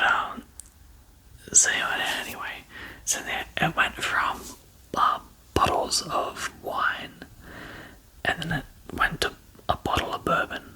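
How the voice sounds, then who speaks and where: A man's voice: on the high side, sharp tone, rough recording. A man telling a personal story in a whisper, swearing often and using many fillers.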